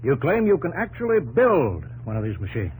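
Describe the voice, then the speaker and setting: An actor playing a blunt, skeptical yet fatherly older New England businessman with a husky voice in a 1950s radio drama. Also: mid-range voice, huge pitch swings, normal speed, a little mellow, exaggerated voice, poor audio. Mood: hearty, probing.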